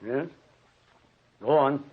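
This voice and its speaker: A man's voice: mid-range voice, very slow, husky. An actor playing a hard-nosed police officer in an old radio drama, curt and skeptical as he questions. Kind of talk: 1940s radio drama acting. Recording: muffled old radio recording.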